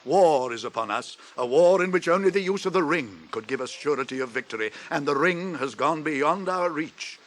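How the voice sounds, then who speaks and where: A man's voice: fairly high pitch, lively intonation, normal speed, hardly any pauses, a bit bright. An older man acting a grave, commanding old wizard in a theatrical, stately 1980s radio drama style. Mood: urgent. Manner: theatrical, stately, declaiming delivery.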